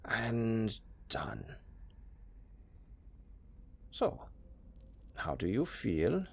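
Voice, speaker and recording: A man's voice: lowish voice, wildly swooping pitch, very slow, vocal fry, full character voice. A man playing a pragmatic, chatty necromancer who talks casually and matter-of-factly about grisly work in an audio roleplay. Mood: businesslike, upbeat. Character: chatty, pragmatic male necromancer, former tailor. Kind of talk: intimate audio roleplay acting.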